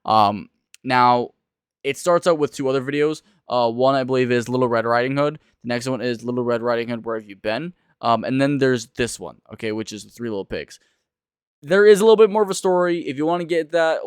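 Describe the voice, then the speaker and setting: A young man with a smooth, clear voice in a very dry recording. He talks like an online reviewer, casual and rambling, and leans on words for emphasis. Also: mid-range voice, no echo. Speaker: video reviewer and streamer. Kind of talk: casual reaction commentary.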